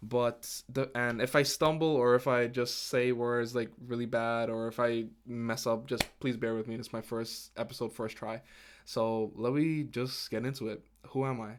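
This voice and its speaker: A man in his twenties who grew up in Guyana, hosting his first solo podcast episode in an earnest, halting way, with restarts and fillers. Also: medium pitch, flat delivery, a little hiss or hum. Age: 20s. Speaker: podcast host. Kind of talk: casual solo podcast talk.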